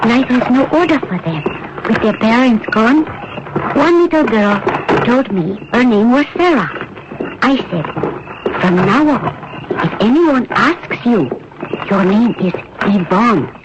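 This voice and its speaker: An actress playing a compassionate young rescuer of children in a radio drama, telling things expressively. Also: female voice, a little high, animated, slow pace, pauses often, very noisy. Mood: tender, sorrowful. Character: tender young woman rescuing refugee children. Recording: dull old radio broadcast, music behind.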